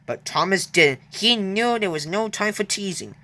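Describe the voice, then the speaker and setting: A storyteller narrating a children's read-along, stumbling and halting over the text. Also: medium pitch, expressive, pushed and bright.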